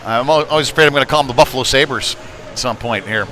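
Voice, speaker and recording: A man's voice: mid-range voice, fast talker, low-quality recording. A man doing live play-by-play hockey commentary with folksy, hesitant phrasing from inside an ice arena. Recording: live rink broadcast with loud background noise. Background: loud arena ambience.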